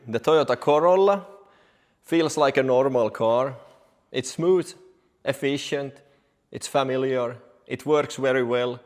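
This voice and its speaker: A man from Finland, a technician talking directly and plainly to viewers as he hosts an explanatory car vlog in English. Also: mid-range voice. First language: Finnish or Swedish. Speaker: car technician and video host.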